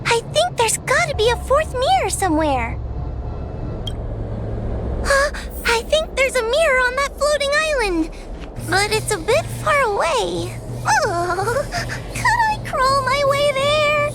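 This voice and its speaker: A timid young female judge from a fantasy video game. The voice is very high and unhurried, with a meek, hesitant delivery that sounds easily scared. Music plays clearly behind it. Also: big pitch swings, full character voice. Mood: anxious, hesitant.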